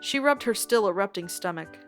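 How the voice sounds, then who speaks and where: A woman narrating a scripted story in an expressive storytelling style. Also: medium pitch, moderate pace. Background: music.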